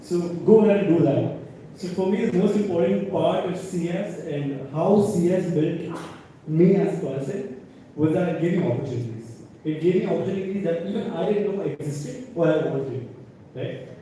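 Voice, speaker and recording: Echoey young Indian man, very mellow voice. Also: fairly high pitch, moderate pace. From North India. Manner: conversational, often ends points with "right?". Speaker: alumni panelist, businessman. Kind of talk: informal panel talk with anecdotes. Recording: echoey hall, distant mic, faint noise.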